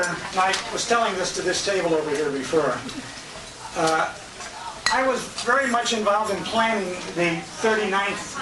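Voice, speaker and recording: A high-pitched man emcees a banquet in a jovial, folksy, slightly halting way. He is picked up from far off in an echoey restaurant, under loud crowd chatter and clattering dishes. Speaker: emcee and radio host. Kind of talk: informal banquet speech to guests. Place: restaurant banquet room, indoors. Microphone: handheld compact camera at a distance. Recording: noisy echoey dining room, distant handheld camera mic. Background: loud diners, clattering dishes and cutlery.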